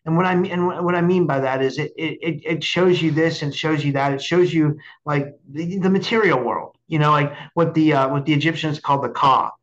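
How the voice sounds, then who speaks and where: A man hosting a casual interview, speaking haltingly and repeating words as he searches for how to put things. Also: fairly high pitch, a bit bright, dull audio. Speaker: podcast host interviewing a guest.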